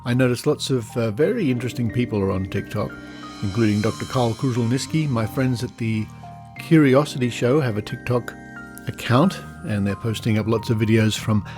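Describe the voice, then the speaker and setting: A man's voice: medium pitch, brisk, over music. A man with an Australian accent hosts a podcast in an informal, conversational style. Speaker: podcast host.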